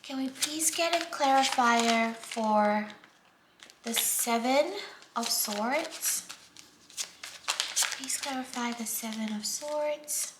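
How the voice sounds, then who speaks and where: Slow, soft-spoken woman. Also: on the high side. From Singapore. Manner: gentle, unhurried, many fillers, drops to a near whisper while drawing cards. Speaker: tarot reader. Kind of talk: casual pick-a-card tarot reading. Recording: echoey room, faint background noise.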